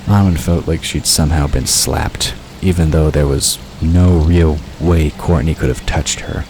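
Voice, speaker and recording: A man's voice: very deep voice, steady tone, unhurried, a little mellow, slight background noise. A man reading scripted fiction narration as an audiobook narrator, in a storytelling style. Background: outdoor ambience and water sound effects.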